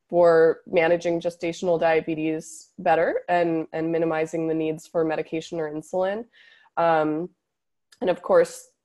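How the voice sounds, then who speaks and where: A woman dietitian, a podcast guest with a slightly hoarse voice, explaining fluently. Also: fairly low pitch, darkish tone. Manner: fluent, explanatory, occasional laughs. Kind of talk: podcast interview.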